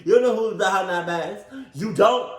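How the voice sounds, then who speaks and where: A man's voice: high voice, normal speed, reverberant. A man giving casual reaction commentary in a blunt, joking, profane way. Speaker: reaction video host.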